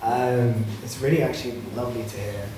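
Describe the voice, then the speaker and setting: A man's voice: medium pitch, fairly even intonation, a little fast. A man speaking on a panel as a curator, thoughtful and hesitant, with many fillers. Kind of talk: panel discussion talk. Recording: echoey room, mic far off, clear noise.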